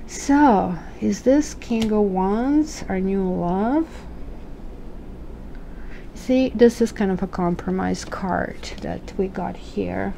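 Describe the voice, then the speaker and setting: A woman's voice: mid-range voice, takes it slowly, gravelly, dark tone, slight background noise. A woman from Croatia with a Croatian accent, reading tarot cards in a chatty, musing way and asking questions aloud. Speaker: tarot reader.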